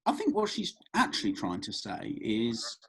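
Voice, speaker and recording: A man's voice: medium pitch, wildly swooping pitch, normal speed, gravelly, fairly bright tone, breathy edge, exaggerated voice. A man acting an affable, cheeky, slightly hapless young man in a comedy script read, with hesitant, wry colloquial delivery. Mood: sheepish, teasing.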